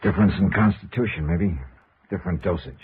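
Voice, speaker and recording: A man's voice: sits low, a little fast, a little hiss or hum, lightly acted. A male actor playing a cool, hard-boiled insurance investigator in a 1950s radio noir, clipped and matter-of-fact. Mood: calm, probing. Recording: dull vintage radio recording, music behind.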